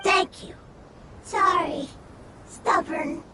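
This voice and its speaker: A woman voicing tiny, childlike desert creatures that speak in halting, simple words. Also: extremely high pitch, very noisy, heavily acted. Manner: halting, few words at a time. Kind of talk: video game dialogue.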